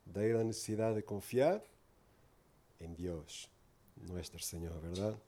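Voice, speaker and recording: A man's voice: low voice, unhurried, warm tone. A man from Portugal preaching in Spanish with a Portuguese accent, giving an earnest, informal sermon full of tag questions.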